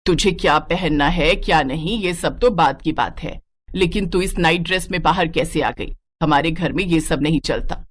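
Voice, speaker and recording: A woman's voice: sits low, moderate pace. Woman as a domineering Indian family-story mother-in-law, middle-aged, strict, scolding, nagging. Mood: scolding, commanding. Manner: bossy, scolding.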